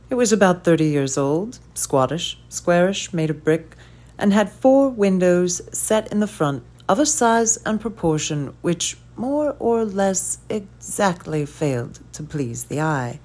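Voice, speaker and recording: A woman reads a story aloud in a measured, unhurried way. Her voice sits a little low for a woman, and her phrases often fall away softly at the end. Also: sing-song. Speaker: audiobook reader. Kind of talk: scripted narration.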